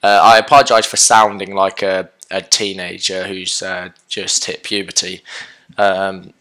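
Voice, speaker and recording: A man's voice: sits low, little pitch movement, a little slow, a bit bright. A young man with a sore throat and a fading voice, chatting casually through a coding tutorial with lots of fillers and self-corrections. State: sick, sore throat, losing his voice.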